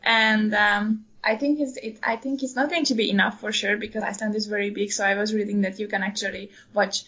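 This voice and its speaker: A woman in her twenties, a guest in a casual interview, speaking hesitant non-native English with many pauses and fillers. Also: medium pitch, fairly even intonation, sharp tone. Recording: dull lo-fi recording.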